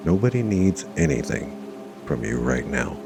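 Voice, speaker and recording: A man narrating a guided meditation in a measured, reassuring way, leaving long pauses between short phrases. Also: a bass voice, very animated, gravelly. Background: music.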